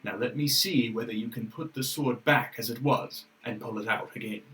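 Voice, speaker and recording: A dignified knight and loyal father from a storybook legend acted out by a woman. The voice is dropped low, speaking in solemn, measured, formal phrases. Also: a bit bright, low-quality recording. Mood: solemn, commanding. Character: grave, dignified middle-aged knight, a loyal foster father.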